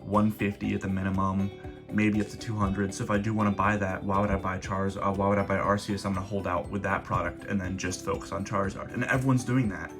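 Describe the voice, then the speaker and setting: A man's voice: low-pitched, flat delivery, fast talker. A man coming down with a cold, hosting his own channel and explaining in a casual, rambling way with frequent restarts. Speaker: host of a card collecting channel. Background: background guitar music.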